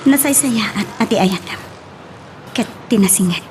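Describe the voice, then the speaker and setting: A woman's voice: medium pitch, unhurried, background noise, natural voice. An actress playing an earnest, emotional young woman caught up in romance in an Ilocano radio love drama. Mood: advising, earnest. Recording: music behind the voice.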